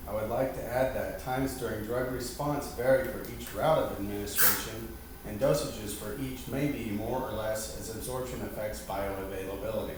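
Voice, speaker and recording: A man's voice: fairly low pitch, steady tone, normal speed. Echoey, distant man presenting a formal lesson. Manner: formal, instructional. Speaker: lesson presenter quizzing a partner. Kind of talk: scripted educational presentation, read out. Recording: very echoey, distant mic, noisy background.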